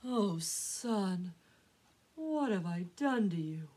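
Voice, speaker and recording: Storybook casting: woman playing an elderly papa duck, hurt and weary, husky voice, imploring and halting. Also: medium pitch, animated, a little mellow, exaggerated voice. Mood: remorseful. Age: older. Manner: pleading, faltering. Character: wounded old father duck, pleading and weary.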